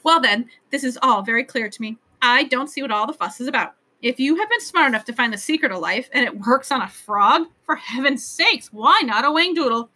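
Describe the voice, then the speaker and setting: A woman acts out a male royal creature from a children's storybook, bright and high-pitched. He is grand, excitable and imperious, with a lonely, insistent streak. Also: a little fast, few pauses, heavily acted. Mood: confident. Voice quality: lisping.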